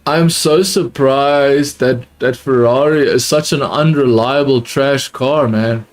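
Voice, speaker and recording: A young man, not a native English speaker, with an accent close to British, reacting live to a race on stream in a casual, excitable way that often repeats itself. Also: medium pitch, slow pace. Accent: close to British, not fully. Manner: excitable, repeats himself, calls viewers dude. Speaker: livestream host watching a race.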